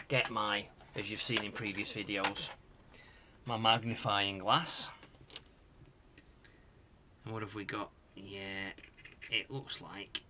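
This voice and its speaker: A middle-aged British man giving casual hands-on repair commentary, musing aloud in a halting, hesitant way. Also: on the low side, noisy background. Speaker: host of a retro tech repair channel. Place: home workshop loft.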